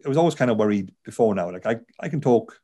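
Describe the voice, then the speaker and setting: A Welsh man with a fairly low voice talks through an interview. His talk is loose and chatty, full of "you know" and false starts. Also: animated, quick pace, warm tone. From South Wales. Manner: chatty, frequent fillers and restarts. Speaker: author, neuroscientist. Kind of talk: conversational interview.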